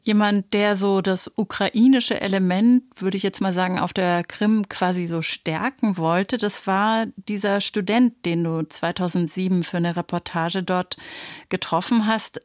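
A woman's voice: medium pitch, steady tone, moderate pace, no room sound. A woman hosting a radio interview, asking reflective, tentative questions in a studio. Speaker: radio host.

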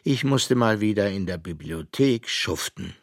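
A man's voice: lowish voice, animated, unhurried, no echo, studio quality. A man narrating a children's radio play as a scripted storyteller.

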